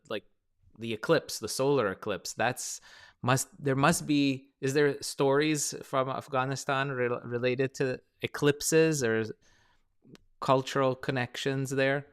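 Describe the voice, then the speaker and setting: A man's voice: mid-range voice, a bit bright, smooth. A man hosting a live online panel, asking loose, rambling questions full of fillers. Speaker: panel host. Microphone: video call.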